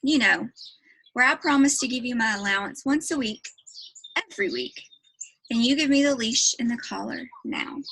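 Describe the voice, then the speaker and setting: Woman playing an earnest, eager little girl, bright. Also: on the high side, moderate pace, gravelly, slightly rough, no echo, exaggerated voice, low-quality recording. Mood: bargaining. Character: earnest, polite, eager young preacher's daughter.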